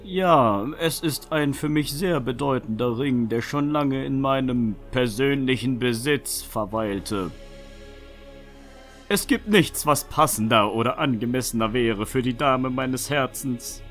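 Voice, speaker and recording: A man's voice: mid-range voice, a little slow, a bit bright, soft background music, natural voice. A man acting a polite, composed young nobleman with formal, diplomatic speech in a fantasy audio drama. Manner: courteous, smooth-talking, formal. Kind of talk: fan-made German fantasy audio drama.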